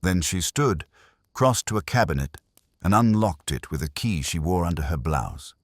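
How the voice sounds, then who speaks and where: A man's voice: low voice, expressive, normal speed, no room sound, clean studio sound. A man narrating a first-person detective story in a wry, hard-boiled manner. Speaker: audiobook narrator.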